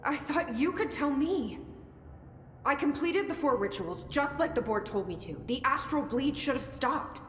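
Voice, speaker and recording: Game role: resolute young female director, self-blaming and clipped, slightly high voice, echoing hall. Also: expressive, moderate pace, a little hiss or hum. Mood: defensive. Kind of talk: video game cutscene acting. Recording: echoey hall, music behind.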